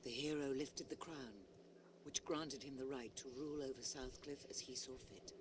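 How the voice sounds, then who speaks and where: An actor playing a storybook narrator in a video game, telling a heroic fable in a measured, dramatic way. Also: male voice, mid-range voice, fairly even intonation, background noise, close to an everyday voice. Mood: grave, dramatic.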